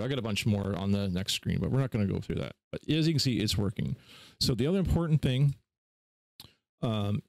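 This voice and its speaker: A man hosting a casual screen-share software tutorial in an informal, offhand way. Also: mid-range voice, no echo. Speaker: tutorial host.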